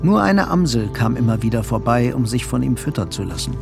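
A man tells the story of a radio play as a scripted literary narrator. His voice is mid-pitched with a marked creak, and faint music plays behind him. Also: big pitch swings, brisk.

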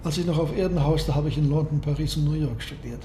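An actor playing a proud, secretive submarine captain and engineer in a German radio drama, speaking in a measured, precise and courteous way. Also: male voice, a little high, lightly acted. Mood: coolly assured. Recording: roomy echo, clear noise behind.

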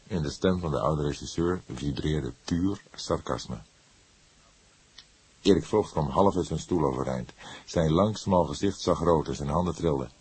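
Male narrator, very low pitch, husky, dry close sound, literary detective storytelling. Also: unhurried, a little mellow, no echo. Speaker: audiobook narrator.

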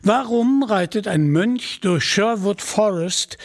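A man's voice: high voice, slow pace, voice pushed up. A man voicing a hearty, direct leader of an outlaw band in a storybook. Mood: curious.

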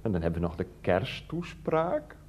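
A man's voice: on the low side, sing-song, normal speed, a little mellow, slight background noise. A man acting a whiny, self-justifying 39-year-old ex-teacher husband in a radio play, speaking hesitantly with padded phrases. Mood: opinionated, insistent.

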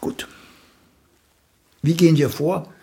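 An actor playing an aging celebrated playwright and mentor in a radio play, raspy, blunt and pedantic, with a dryly cutting drawl. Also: male voice, on the high side, steady tone, takes it slowly, mellow, heavily acted. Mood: dryly dismissive. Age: older. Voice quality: raspy, gravelly. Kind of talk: German radio play acting.

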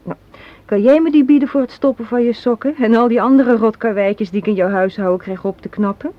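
A woman's voice: fairly high pitch, a little fast, natural voice. Muffled recording of a sardonic young woman played by an actress. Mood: scornful, firm. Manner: argumentative, sardonic, articulate. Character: assertive, cynical young woman arguing against a marriage proposal. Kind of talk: radio play acting. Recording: muffled, hissy old radio play recording.

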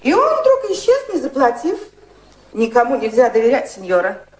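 An actress playing a talkative, anxious, deferential maid in a radio drama. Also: female voice, fairly high pitch, wildly swooping pitch, normal speed. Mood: gossipy. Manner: deferential, chatty.

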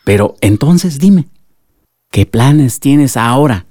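An actor playing a wise, loving elderly village grandfather in a radio drama, with a Mexican accent and a measured, reflective way of advising. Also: medium pitch, lively intonation, dark tone, slight background noise. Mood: gentle, curious. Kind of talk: Spanish-language radio drama acting.

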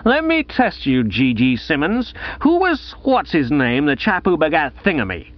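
A man voicing a tipsy, haughty, belligerent young gentleman with drawn-out, accusing emphasis in a comic audiobook. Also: on the high side, huge pitch swings, highs cut off, voice pushed up. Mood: suspicious, mocking. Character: tipsy, cocky, belligerent young gentleman handing out school prizes.

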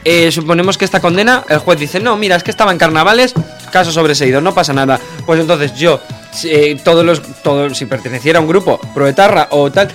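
Brisk, emphatic male panelist, slightly high voice, jokey and insistent. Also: a bit bright, soft background music. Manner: emphatic, repeats himself, jokey asides. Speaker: radio show panelist. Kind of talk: casual debate on a radio talk show.

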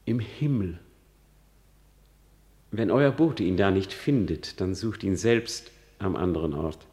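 An actor playing a bitter, mocking young prince who feigns madness in a theatrical German radio play of classical drama. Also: male voice, low voice, animated, slow pace, darkish tone. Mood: sardonic. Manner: theatrical, sardonic.

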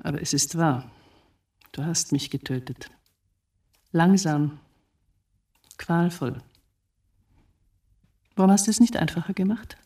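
A ghostly wife in a radio play, heard a little away from the microphone. She is low-voiced, wounded and accusing, full of reproach. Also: slow pace, long pauses, warm tone, distant mic. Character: reproachful dead wife appearing in her husband's dream. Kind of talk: radio drama acting.

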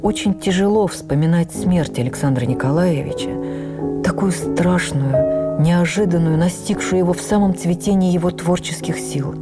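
A woman playing an actress who reverently recalls her life, in an expressive, measured dramatic narration for radio. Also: fairly low pitch, close to an everyday voice. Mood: reflective, sorrowful. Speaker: actress reading memoirs on radio. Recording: radio broadcast with faint music.

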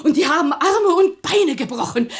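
An actress playing an excitable, gossipy small-town woman who chatters with gushing politeness in a comic radio play. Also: high voice, moderate pace. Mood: eager gossip. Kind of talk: theatrical German radio comedy acting.

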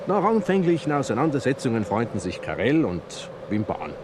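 An actor in a German radio drama playing a proud, middle-aged mountaineer and filmmaker who tells stories with theatrical relish. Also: male voice, mid-range voice, very animated, brisk, noisy background, natural voice. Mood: dramatic narrating.

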